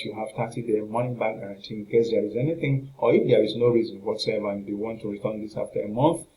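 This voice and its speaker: A man giving an informal, halting and repetitive screen walkthrough as a product reviewer. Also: on the low side, darkish tone, poor audio. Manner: halting, repetitive, rambling narration with filler phrases.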